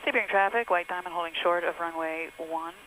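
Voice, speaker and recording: A woman's voice: lowish voice, brisk, a bit bright. A woman pilot talking to herself and making radio calls over a headset in a small plane cockpit. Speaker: pilot, vlogger. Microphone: aviation headset. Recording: noisy small plane cockpit intercom audio.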